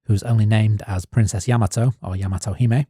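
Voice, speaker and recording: Dark husky-voiced man reading notes. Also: lowish voice, no echo, studio quality. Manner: reads prepared notes in even, measured narration. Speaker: podcast co-host. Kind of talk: scripted narration.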